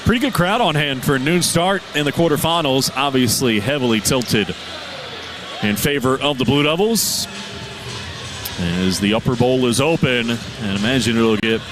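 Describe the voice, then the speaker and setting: A man's voice: mid-range voice, normal speed, music in the background. A man calling a basketball game on radio, reading lineups and stats from an arena broadcast position. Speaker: radio play-by-play announcer. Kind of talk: pregame sports radio broadcast.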